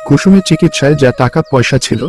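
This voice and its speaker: A man's voice: medium pitch, expressive, fast, darkish tone, lightly acted. Man playing a humble, poor village husband. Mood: apologetic. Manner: humble, earnest. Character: poor, weak, pleading husband seeking work. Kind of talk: cartoon story dialogue.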